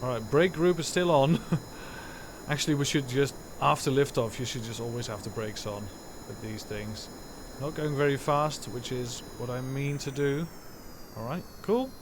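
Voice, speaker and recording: A man's voice: mid-range voice, normal speed, noisy background. A man whose first language is Dutch, giving rambling, self-correcting live commentary while streaming a game from home.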